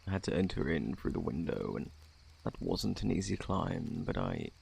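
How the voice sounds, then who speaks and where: Man playing a gravelly, soft-spoken British plague doctor. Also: very deep voice, sing-song, moderate pace, slight background noise, heavily acted. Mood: fussy, indignant. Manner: formal, fussy, self-assured. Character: polite, evasive, faintly sinister male plague doctor. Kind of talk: ASMR roleplay, soft close speech.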